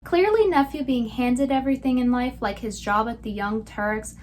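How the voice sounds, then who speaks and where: A woman's voice: on the high side, moderate pace. A woman delivering scripted commentary in a sarcastic manner. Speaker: video commentator.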